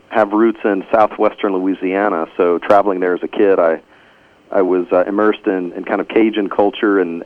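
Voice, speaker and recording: Man from Houston, low voice, halting measured answers, tinny phone line. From Houston, Texas. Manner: measured, many "uh" pauses and restarts. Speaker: guest historian. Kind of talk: interview answers.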